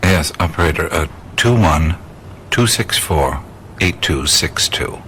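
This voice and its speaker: An actor playing a wry, easily rattled American adventurer in a radio play, halting and hesitant in his speech. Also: a bass voice, animated, bright, forceful tone, a little hiss or hum, heavily acted. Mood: hesitant, polite.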